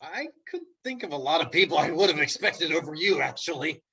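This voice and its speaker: A man plays a sardonic office worker stuck being the hero of a stage comedy. The voice is bright and sharp yet husky, rising and falling freely with exasperation. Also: on the high side, lively intonation, fairly quick, heavily acted. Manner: sharp, sarcastic. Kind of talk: table read of a comedy play.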